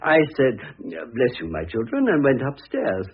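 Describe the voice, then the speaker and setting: A middle-aged man with a British accent acting in a classic radio drama as a peevish, gossipy gentleman admirer, chatty and blustering in a theatrical way. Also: medium pitch, wildly swooping pitch, unhurried, husky, heavily acted. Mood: amused, conspiratorial. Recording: muffled, tinny old radio recording.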